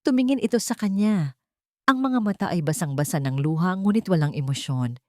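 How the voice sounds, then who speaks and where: A woman narrates a scripted audio drama in a dramatic storytelling style. Her voice is notably low, and the recording is dry, with very little room sound. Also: lively intonation, fairly quick, warm tone, dry recording.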